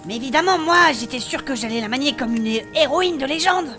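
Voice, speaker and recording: A woman playing a spirited female blacksmith adventurer, recounting her past in animated, colloquial in a fantasy audio drama. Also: high-pitched, brisk, music in the background. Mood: lively storytelling. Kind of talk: French fantasy audio fiction acting.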